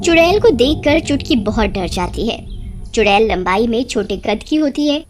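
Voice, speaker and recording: High-pitched woman narrating a children's story. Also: animated, normal speed.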